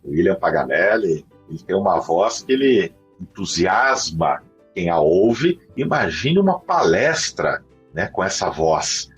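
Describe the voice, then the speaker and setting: A Brazilian man hosts a podcast with a markedly husky, rough voice. His delivery is animated and conversational as he presents to listeners. The sound is somewhat muffled and lo-fi. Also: fairly low pitch. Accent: Brazilian Portuguese. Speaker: podcast host. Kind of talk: conversational hosting and promotion. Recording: dull lo-fi sound, faint music.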